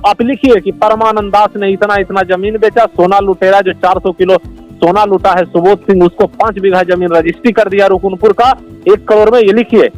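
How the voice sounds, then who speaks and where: A man's voice: high voice, steady tone, fairly quick, a little mellow. A man with a Bihari accent in a heated phone argument, insistent and accusatory, repeating his demands. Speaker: monk, head of a monastery, complaining caller. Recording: phone call recording with music behind.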